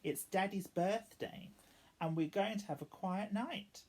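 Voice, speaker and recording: Woman voicing a brisk, husky storybook mother elephant. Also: mid-range voice, a little mellow, voice pushed up. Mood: firm. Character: brisk, firm mother elephant managing her lively children.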